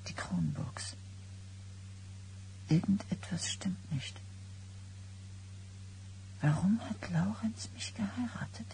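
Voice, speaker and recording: An actress playing an exhausted, foreboding expectant wife in a radio drama, brooding in a breathy, creaky near-whisper. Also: female voice, mid-range voice, expressive, warm tone, low-quality recording. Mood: fearful, brooding. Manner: slow, brooding, intense. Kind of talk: 1990s German radio drama acting.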